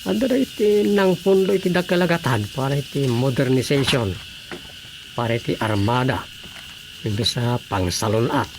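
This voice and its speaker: Gravelly, emphatic Ilocano man in an acted role. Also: medium pitch, normal speed, lightly acted. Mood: earnest explaining. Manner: explanatory, emphatic. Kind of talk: radio drama acting. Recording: faint noise, insect ambience.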